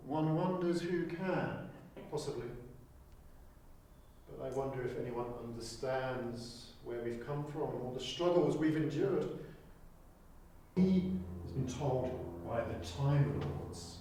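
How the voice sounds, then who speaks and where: A man auditioning as a grave, stately alien lord, speaking in measured oratory for an audio drama. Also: medium pitch, dark tone, close to an everyday voice. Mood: grave, declamatory. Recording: very echoey room, distant mic, faint noise.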